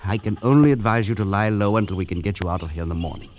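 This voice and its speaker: A man's voice: on the low side, rapid-fire, a little mellow, muffled recording, a little hiss or hum, natural voice. A man playing a stern, principled expedition leader in an old-time radio drama, urgent and scolding.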